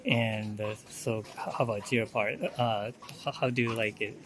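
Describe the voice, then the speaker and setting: A man with Japanese as his first language, interviewing tourists on the street in English, halting and searching for words. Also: lowish voice, fairly even intonation, background noise.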